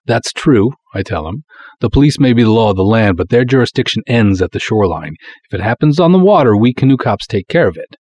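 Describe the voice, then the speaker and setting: A man's voice: lowish voice, animated, a little fast, a little mellow, slightly rough. A man playing a proud, folksy small-town cop who narrates his own pulp detective story in breezy, wisecracking, colloquial style. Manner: breezy, colloquial, hard-boiled wisecracking delivery. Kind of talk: dramatic first-person pulp story narration.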